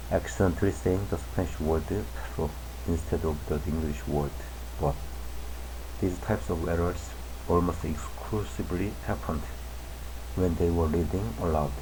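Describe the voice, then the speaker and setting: Very deep-voiced man reading news slowly. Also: noisy background. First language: Korean. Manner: careful, deliberate, restarts on tricky words. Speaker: reader of an English news article. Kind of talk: slow read-aloud of a news article.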